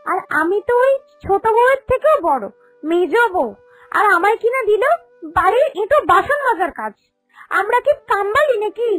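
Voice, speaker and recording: A woman's voice: extremely high pitch, expressive, normal speed, a bit bright, no echo, faint music behind, poor audio. A woman playing a lazy, indignant daughter-in-law in a cartoon, grumbling and full of excuses. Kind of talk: animated cartoon dialogue.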